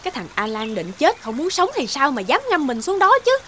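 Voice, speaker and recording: A woman's voice: high-pitched, big pitch swings, a little fast, pushed and bright, a little hiss or hum, exaggerated voice. A woman voicing a worried, blunt village onlooker in a folktale cartoon, exclaiming and pleading. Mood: alarmed. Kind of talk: dubbed folktale cartoon acting.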